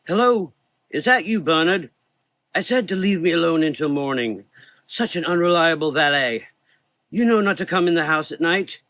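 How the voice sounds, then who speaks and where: A man voicing a frightened, frail elderly doctor with a weak, thin voice and halting speech in a gothic horror audio drama. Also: fairly high pitch, lively intonation, a bit bright, no echo, voice pushed up. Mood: weak, surprised. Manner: halting, repeating phrases, exclaiming.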